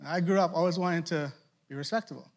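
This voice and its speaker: A man's voice: fairly high pitch, a little mellow. A young man from Trinidad with a Trinidadian accent touched by Boston, preaching a casual, conversational youth sermon as a ministry director. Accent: Trinidadian, with Boston influence. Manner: conversational, asks rhetorical questions, quick asides.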